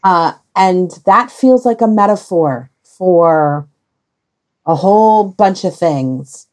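A woman with a fairly low voice hosts a casual podcast conversation. She speaks emphatically and deliberately, leaving pauses as she shapes her phrases. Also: expressive, darkish tone. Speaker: podcast discussion host.

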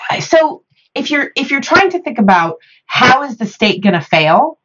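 An American woman in a reflective podcast discussion, speaking thoughtfully and haltingly with pauses between phrases. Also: sits high, very animated, a bit bright, slightly rough, highs cut off.

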